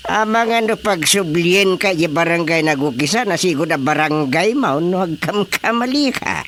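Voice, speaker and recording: An actor playing a proud, excitable older Filipino father and village chairman in comedic radio drama, with theatrical, exclamatory delivery. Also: a little high, normal speed. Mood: eager, demanding. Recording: faint background noise.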